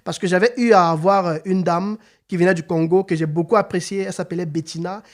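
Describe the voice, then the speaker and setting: A man's voice: high-pitched, fairly even intonation, quick pace. A man hosting a casual podcast interview, often stumbling over repeated words and filler phrases, now and then rising into an emphatic rallying tone. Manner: hesitant, repeats words and says "en fait" often. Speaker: podcast host.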